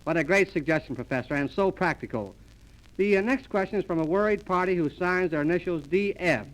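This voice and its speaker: Male radio comedy host, high-pitched, mock-formal, on an old 1930s transcription disc. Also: fairly quick, poor audio. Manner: mock-formal announcer delivery.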